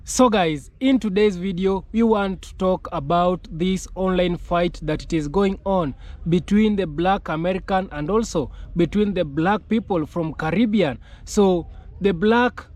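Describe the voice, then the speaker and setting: High-pitched male YouTube commentator. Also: a little mellow. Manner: halting, repetitive phrasing with frequent filled pauses. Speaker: host of a commentary channel.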